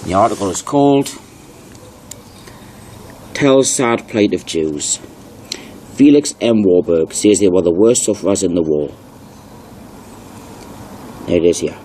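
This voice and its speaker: Low mellow guy doing informal narration, reads stuff out emphatically, some noise behind him. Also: rough recording. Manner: emphatic, repeats key phrases slowly. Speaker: narrator presenting old newspaper articles. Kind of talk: informal video commentary with read-aloud passages.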